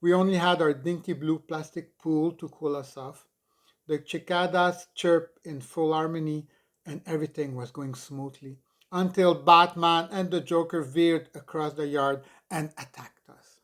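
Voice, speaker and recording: Man in his 60s reading his own story, kinda high voice, slow and dramatic. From Montreal, Canada. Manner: expressive, deliberate, emphatic storytelling. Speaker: author reading own memoir. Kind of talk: dramatic audiobook narration.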